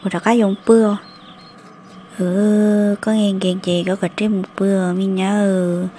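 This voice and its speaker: An actress voices a woman in a cartoon family drama. The voice sits at an average female pitch and is delivered in an amateur dubbing style. A faint noise runs behind it. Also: flat delivery, a little slow, dark tone, close to an everyday voice. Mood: conversational.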